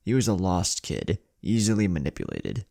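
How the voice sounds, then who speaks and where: A man's voice: low-pitched, wildly swooping pitch, normal speed, vocal fry, dark tone, dry recording, clean studio sound, heavily acted. A man voicing a sly, servile plant-like clone henchman in an anime story, reporting deferentially, with music behind.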